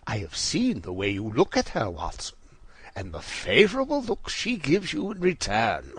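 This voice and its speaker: An actor playing a brilliant, self-assured Victorian gentleman detective in an audio drama, with a precise, formal and theatrical delivery. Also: medium pitch, huge pitch swings, moderate pace, slightly rough, dry recording. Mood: teasing, precise.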